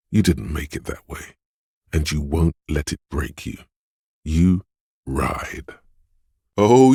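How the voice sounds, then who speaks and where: Game casting: slick inner voice of style, extremely low bass, coaxing and theatrical, close dry sound. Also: male voice, mellow, no echo, exaggerated voice. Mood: grim, goading. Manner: smooth, theatrical, coaxing second-person address. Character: inner voice of agility and style, slick urging hustler. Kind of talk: video game inner-monologue voice lines.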